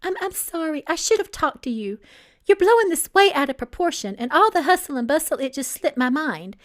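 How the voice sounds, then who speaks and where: A woman's voice: high voice, sing-song, brisk, pitched above the performer's own voice. A woman reading a story aloud, voicing a pampered young bride-to-be who is nervous, pleading and manipulative. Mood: flustered pleading. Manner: flustered, stammering, pleading.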